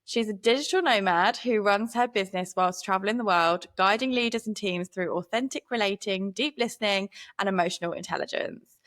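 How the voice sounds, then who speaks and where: A woman's voice: mid-range voice, fairly bright tone. A young British woman hosting a podcast interview, chatty and enthusiastic, saying "like" often. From United Kingdom. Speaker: podcast host.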